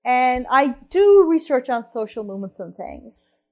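A fairly high-voiced woman from Turkey speaks in the lively way of a lecture. She checks in with "right?" and often restarts her sentences, thinking aloud as she goes. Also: a little slow. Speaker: researcher and columnist giving a talk. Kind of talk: lecture-style talk.

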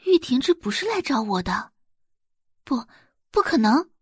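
Haughty, spiteful young woman, acted by a female narrator, very animated. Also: high-pitched, measured pace, breathy edge, no room sound, clean studio sound, full character voice. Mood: disbelieving, scornful. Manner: scornful, melodramatic. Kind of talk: audio drama acting.

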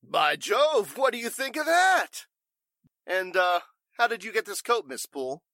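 A man's voice: high-pitched, sing-song, measured pace, sharp tone, no room sound, studio quality, heavily acted. A man playing a flustered, evasive warehouse owner in 1940s radio drama style, stammering and speaking with stuffy formality. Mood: flustered, amazed.

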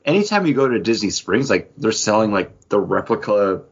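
A man's voice: fairly low pitch, sing-song, normal speed, breathy edge, highs cut off. A man hosting a podcast in casual, chatty fan talk. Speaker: podcast host.

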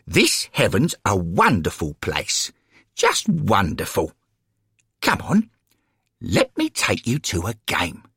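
A man's voice: lowish voice, wildly swooping pitch, slow pace, pushed and bright, husky, no room sound, clean studio sound, full character voice. A man voicing a kindly, forthright elderly woman in a storybook reading, with a folksy, enthusiastic way of talking. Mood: eager, wondering.

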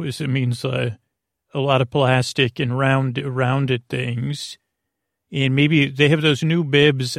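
Creaky-voiced man rambling through a bedtime monologue. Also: mid-range voice, dry recording, clean studio sound. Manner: meandering, hesitant, digressive, whimsical. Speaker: podcast host.

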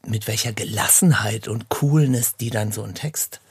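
A man hosting a relaxed, conversational interview, putting questions and remarks to his guest. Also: fairly low pitch, moderate pace, breathy edge, dry recording, studio quality. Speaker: interviewer, host.

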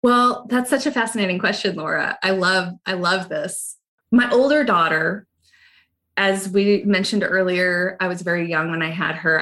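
A woman's voice: medium pitch, gravelly, fairly bright tone. Reflective woman from Hawaii. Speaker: guest, yoga teacher. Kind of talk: conversational interview.

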